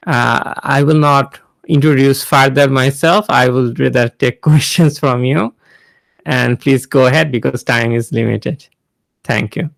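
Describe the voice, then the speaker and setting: A man's voice: medium pitch, gravelly, fairly bright tone, no echo. A man in his thirties, from Bangladesh and speaking with a Bangladeshi accent, gives a casual, hesitant talk to students over a video call, with many fillers and restarts. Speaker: guest speaker, NASA engineer.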